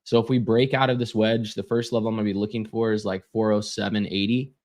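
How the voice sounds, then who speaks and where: A man's voice: on the low side, level tone, fast talker, darkish tone. A young man losing his voice, running a casual live trading stream with lots of fillers and repeated words.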